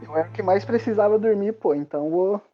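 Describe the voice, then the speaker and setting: A man's voice: high voice, fast talker. A Brazilian man chatting casually as a player at a roleplay table. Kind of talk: casual game-table chat. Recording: muffled lo-fi audio under music. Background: background music.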